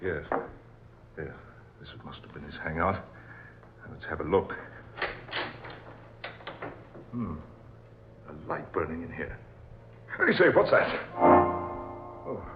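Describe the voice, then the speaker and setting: An actor playing a bewildered seafaring man aboard a ghost ship, halting and wondering in dramatic old-time radio style. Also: low-pitched, big pitch swings, heavy background noise, exaggerated voice. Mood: hushed, eerie. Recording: muffled old radio sound with music underneath.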